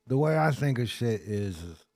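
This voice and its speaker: A man's voice: mid-range voice, expressive, normal speed, vocal fry, darkish tone, no room sound. A man speaking as a podcast guest in slangy, profane casual chat, rambling with frequent restarts.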